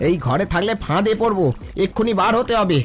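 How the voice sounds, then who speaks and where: A man voicing a steady, protective village father in a cartoon, giving practical, instructive guidance. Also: sits high, fast, close to an everyday voice. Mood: urgent. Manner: instructive, reassuring. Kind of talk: Bengali cartoon dialogue. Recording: music behind the voice.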